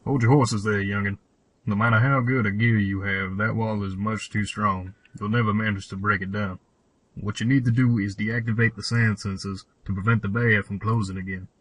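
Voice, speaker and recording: A man's voice: on the low side, steady tone, moderate pace, a bit bright, no room sound, rough recording. A man playing a cryptic old cave hermit and mechanic in an audio drama, with a solemn, old-fashioned storyteller's delivery. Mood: gruff, practical. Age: older. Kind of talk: fan audio drama acting.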